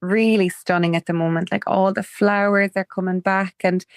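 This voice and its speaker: A woman's voice: mid-range voice, steady tone, normal speed, no echo, clean studio sound. A young Irish woman talking enthusiastically and chattily in a casual conversation, with a frequent "like". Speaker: guest.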